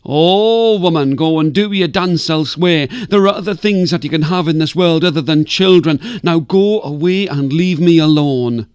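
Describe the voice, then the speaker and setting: A man's voice: high-pitched, expressive, slow pace, no room sound, clean studio sound, voice pushed up, full character voice. A man voicing a grumpy old wise woman on a mountain who scolds a pleading villager. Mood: irritated. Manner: scolding, impatient. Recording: guitar music behind.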